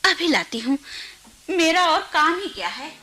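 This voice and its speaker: Middle-aged wife and mother, anxious, reproachful, very high bright voice, animated radio drama. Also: a little slow, soft background music, full character voice. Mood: sharp, exasperated.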